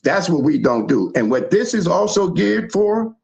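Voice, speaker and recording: Gravelly New man, animated. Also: high voice, moderate pace. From South Bronx, New York. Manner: animated storytelling, emphatic. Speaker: show host. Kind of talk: casual chat.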